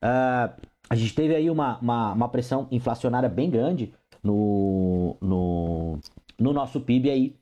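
Hoarse brazilian guy doing radio market chat, mellow dark voice, chatty with lots of fillers. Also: mid-range voice, measured pace. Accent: Brazilian Portuguese. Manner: informal, repeats fillers, addresses listeners as "pessoal". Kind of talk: casual market commentary.

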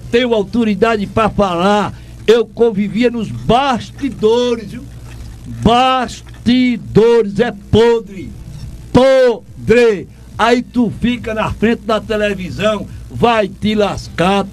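An older man with a Pernambuco accent, a radio host and former sports reporter, ranting with indignant emphasis and lots of repetition. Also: high-pitched. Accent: Northeastern Brazilian, Pernambuco. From Pernambuco, Brazil. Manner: indignant, emphatic, repeats himself. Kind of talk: ranting commentary.

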